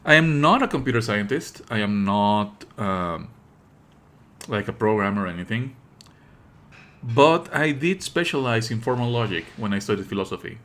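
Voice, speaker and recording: A man streaming live commentary, explaining in an opinionated, pedantic way with halting delivery and emphatic stresses. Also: on the low side, animated, fairly bright tone. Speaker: streamer and host.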